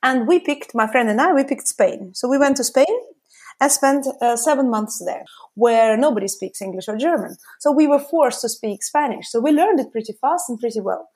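A woman's voice: on the high side, moderate pace, slightly rough. A woman with a Russian accent, a podcast guest telling stories in casual conversation, with many fillers as she talks. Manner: lively storytelling with frequent fillers.

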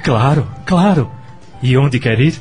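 A man's voice: mid-range voice, expressive, slow pace, a little mellow. Man acting courteous middle-aged suitor, earnest, tender, radio soap drama, music underneath. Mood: astonished, elated. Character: gentle, lovestruck middle-aged widower courting a woman.

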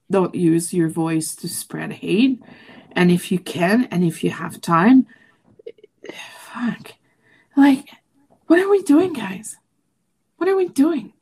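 A woman from Quebec whose first language is French, co-hosting a political talk podcast and speaking emphatically, her voice rising into high, outraged questions. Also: darkish tone, breathy edge. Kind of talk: impassioned political chat.

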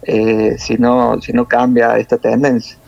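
A man from Córdoba with a Cordoban Argentine accent, a nurse explaining things in a measured way with many hesitations over a phone interview. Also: medium pitch, monotone, gravelly, a little mellow, no room sound, lo-fi sound. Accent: Cordoban Argentine Spanish.

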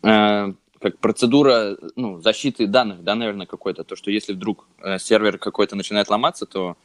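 A man's voice: fairly low pitch, fairly even intonation, fairly quick, dry recording. A man in his twenties on a casual podcast, thinking aloud hesitantly with lots of fillers. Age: 20s. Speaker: podcast co-host.

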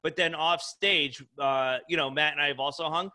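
He is a man in his thirties with a forceful, bright voice that sits a little high. He talks like a comic off stage on a podcast, casual and profane, peppering his thoughts with slangy asides. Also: fairly even intonation, moderate pace. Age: 30s. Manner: profane, informal, frequent "dude" and "like". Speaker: stand-up comedian, podcast guest.

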